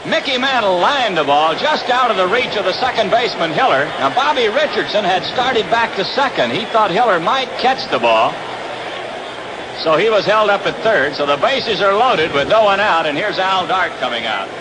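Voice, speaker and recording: A man's voice: sits high, very animated, moderate pace. American baseball radio announcer, man, noisy broadcast. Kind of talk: live radio play-by-play commentary. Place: outdoor baseball stadium. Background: loud ambient ballpark noise.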